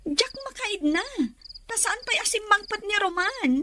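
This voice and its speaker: A woman's voice: very high-pitched, lively intonation, moderate pace, sharp tone, slight background noise, full character voice. A woman playing a whiny, anxious young daughter pleading in an Ilocano radio drama. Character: whiny, anxious daughter waiting up for her sweetheart.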